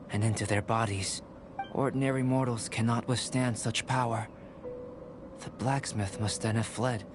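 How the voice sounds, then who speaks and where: A man voicing a calm, scholarly young male alchemist in a video game, giving measured, polite explanations over game music. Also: fairly low pitch, natural voice.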